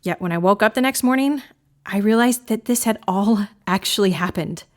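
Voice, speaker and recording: Casting: actress for a dramatic, frazzled woman confessing a strange bargain, medium pitch, wry and theatrical, audio drama monologue. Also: normal speed, close to an everyday voice. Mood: amazed, relieved.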